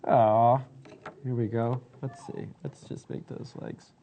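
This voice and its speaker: A man's voice: mid-range voice, level tone, slow pace, highs cut off. A man giving an upbeat, step-by-step live product demo. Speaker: product demonstrator.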